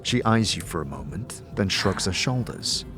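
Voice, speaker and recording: A man narrating a scripted fantasy story in a measured, dramatic way, addressing the listener as "you". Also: low-pitched, lively intonation, music in the background.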